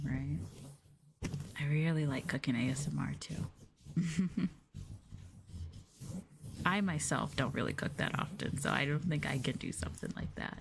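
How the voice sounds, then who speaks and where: A woman livestreamer talking softly and casually in an ASMR style, close to the microphone. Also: deep voice. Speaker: livestreamer, virtual streamer host. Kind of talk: soft-spoken ASMR livestream chat.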